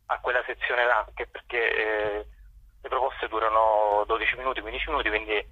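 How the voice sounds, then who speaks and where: A man giving unscripted, halting answers as a guest in a radio interview, searching for words. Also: on the low side, level tone, dry recording, narrow, tinny audio. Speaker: theatre festival director, interview guest.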